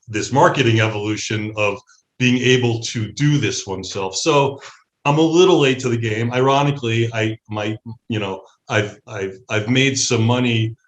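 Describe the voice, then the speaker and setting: A middle-aged American man, a musician, talking earnestly and haltingly as a guest in a casual interview. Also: mid-range voice. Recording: roomy echo.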